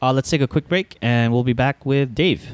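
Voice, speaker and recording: A man hosting a casual podcast, chatty and quick to laugh, often repeating words as he talks. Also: mid-range voice, background noise. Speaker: podcast host.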